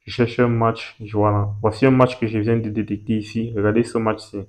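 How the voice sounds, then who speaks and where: A man, talking through a screen-recorded walkthrough, repeating himself and pausing as he points things out. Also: lowish voice, flat delivery, darkish tone. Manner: repetitive and hesitant, points at things with "ici, là". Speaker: betting tutorial host.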